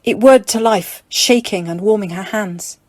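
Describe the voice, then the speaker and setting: A woman reading scripted fiction as an audiobook narrator, in a measured, dramatic storytelling manner. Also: medium pitch, no echo, studio quality.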